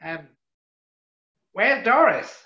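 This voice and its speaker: An actress playing a brassy New York character with an American accent, brash and animated, in 1940s radio comedy style. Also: female voice, mid-range voice, very slow. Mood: eager, puzzled. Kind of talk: 1940s radio play acting. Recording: roomy, muffled online recording.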